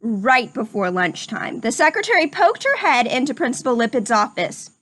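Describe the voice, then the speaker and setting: A woman reading a picture book aloud to young children in an expressive storytelling manner. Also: a little high, normal speed. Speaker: storybook reader. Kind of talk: children's read-aloud narration.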